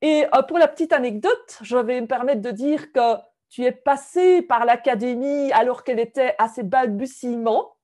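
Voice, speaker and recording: Female interview host, fairly high voice, effusive and appreciative, semi-formal delivery. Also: normal speed, hardly any pauses, a little mellow. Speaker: interview host and constellation trainer.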